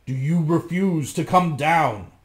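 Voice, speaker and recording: Bluebeard, storybook villain, read by a man: cruel husband, slow heavy threats, echoey room. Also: on the low side, animated, a little mellow, pitched below the performer's own voice. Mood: shouting.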